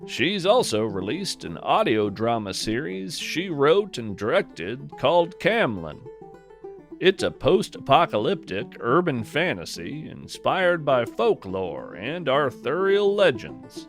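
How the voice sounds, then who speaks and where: An American man narrates a whimsical scripted podcast in a wry, earnest way. His delivery is slow and melodic, with lively swings of pitch. Soft guitar plays faintly behind him.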